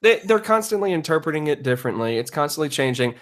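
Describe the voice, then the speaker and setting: A man's voice: fairly high pitch, very animated, quick pace, fairly bright tone. A man co-hosting a comedy podcast, arguing in an emphatic, mock-serious way during casual banter.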